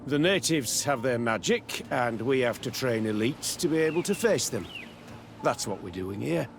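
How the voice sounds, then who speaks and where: A military camp captain for a video game, guarded and used to command. His mid-pitched voice is measured and curt, with faint outdoor ambience behind it. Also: expressive, gravelly, noisy background. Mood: guarded, official. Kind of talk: video game dialogue acting.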